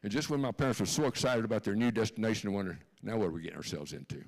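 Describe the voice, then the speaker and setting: A man's voice: lowish voice, rapid-fire, gravelly. A man in his seventies with a Texan accent, giving an earnest personal testimony to a church congregation and repeating key lines for emphasis. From Texas, born in Austria. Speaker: guest speaker. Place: church sanctuary.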